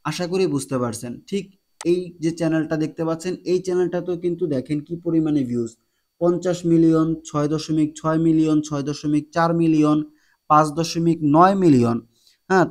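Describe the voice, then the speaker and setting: A man narrates a tutorial. His voice sits a little high. He explains things directly to viewers as the host. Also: a little fast, a little mellow.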